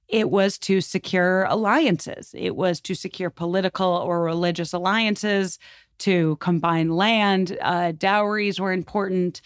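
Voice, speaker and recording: A woman's voice: fairly low pitch, dry recording, clean studio sound. A woman talking through history as a podcast guest, conversational and informal, with an enthusiastic, opinionated manner.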